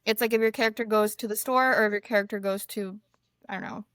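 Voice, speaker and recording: A woman from Mexico, whose first language is Spanish, chatting as a guest author and retelling conversations in a lively, storytelling way. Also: medium pitch, fast talker, no room sound. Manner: chatty storyteller, quotes people often. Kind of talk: casual podcast chat.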